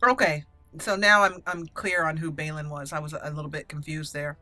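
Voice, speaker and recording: Bright-voiced woman doing gameplay roleplay. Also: sits low, steady tone, a little fast, no echo, soft background music. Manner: switches into regal in-character lines. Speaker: let's play host.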